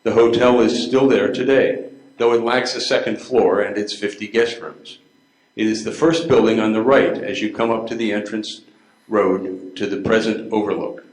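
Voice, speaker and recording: An older man reading scripted narration as the narrator of a readers theater play. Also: fairly low pitch. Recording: echoey room.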